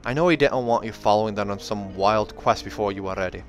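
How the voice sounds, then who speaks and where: A man voicing a gruff, protective old dwarf with rolled Rs, in the manner of a fantasy audiobook. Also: lowish voice, quick pace, lightly acted. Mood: sorrowful. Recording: music behind the voice.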